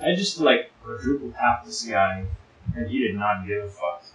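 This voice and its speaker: A man co-hosting a let's play, in casual gaming banter, with jokey, rapid, repeated callouts to his partner. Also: mid-range voice, animated, fairly bright tone, faint music behind. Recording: roomy echo.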